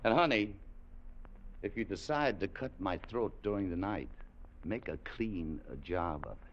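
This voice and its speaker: A man's voice: fairly low pitch, unhurried, natural voice. An actor playing a tough, wary American adventurer who tells his tale in a clipped, colloquial way in an old radio drama. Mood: gruff, weary. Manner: clipped, hard-boiled, colloquial. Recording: muffled old radio broadcast, music behind.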